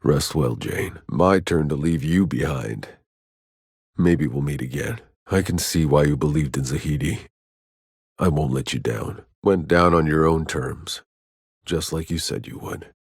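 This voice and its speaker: An actor voicing a jaded, sardonic, hardened soldier in a video game, with a rough voice and a wry, terse, world-weary delivery. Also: male voice, a bass voice, a little slow, no echo, studio quality, exaggerated voice. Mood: somber, subdued. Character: jaded, sardonic male soldier, hardened survivor of alien wilds. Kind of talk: video game character voice lines.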